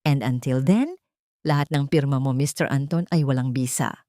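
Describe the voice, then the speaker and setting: A woman's voice: extremely low pitch, moderate pace, warm tone, dry recording, studio quality, voice pushed down. A woman voicing a firm, formal male bank auditor who speaks with unshakeable authority in an audio story.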